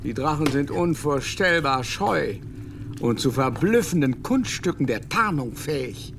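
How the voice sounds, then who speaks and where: A pompous, lecturing foreign Jesuit. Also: male voice, on the high side, expressive, measured pace, noisy background. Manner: lecturing, fumbles for German words. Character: learned English Jesuit doctor, pompous and evasive. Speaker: Jesuit scholar. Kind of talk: radio play acting.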